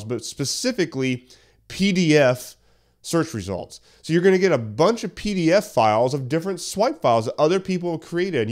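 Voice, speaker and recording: Slightly hoarse man hosting a podcast. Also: medium pitch, a little mellow. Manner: conversational, explains step by step with questions. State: slightly hoarse, getting over a cough. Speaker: podcast host. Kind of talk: solo podcast tutorial. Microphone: studio podcast mic.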